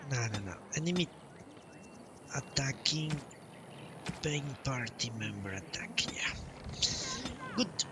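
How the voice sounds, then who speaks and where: A man's voice: medium pitch, expressive, slow pace, pauses often, background noise. A man live streaming a game, casually thinking aloud and muttering to himself as he reads menus. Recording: clear game music behind voice. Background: video game music.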